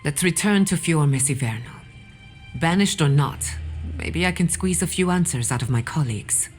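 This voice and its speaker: A low-pitched woman's voice plays an earnest animancer researcher in a fantasy game. She is scholarly and resolute, with music playing underneath. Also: expressive, normal speed. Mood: earnest, troubled. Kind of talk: video game cutscene acting.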